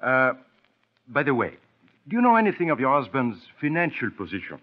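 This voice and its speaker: An actor playing a vain, courteous foreign detective with a French accent and ornate broken English, in old radio drama style. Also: male voice, mid-range voice, big pitch swings, a little fast, natural voice. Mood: courteous, probing. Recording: dull, band-limited old radio recording.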